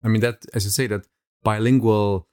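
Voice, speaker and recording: A man's voice: lowish voice, steady tone, normal speed, darkish tone, clean tone. A male podcast host, not a native English speaker, asking musing, searching interview questions. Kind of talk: interview conversation.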